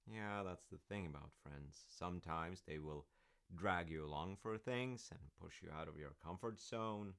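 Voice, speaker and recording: A man playing a warm, rambling father in an audio roleplay, telling stories haltingly with wry asides to his child. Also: low voice, vocal fry, smooth, no echo. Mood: wry, amused. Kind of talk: audio roleplay, intimate storytelling.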